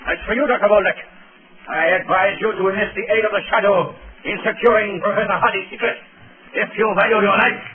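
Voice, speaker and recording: Villain role male actor: imperious, threatening espionage chief, raised pitch, clamor behind him, vintage radio melodrama. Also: steady tone, fast, over a noisy crowd, close to an everyday voice. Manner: commanding, sneering, menacing. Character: arrogant, threatening male spy ringleader villain. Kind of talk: 1930s radio acting. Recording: tinny, band-limited 1930s radio recording with background clamor.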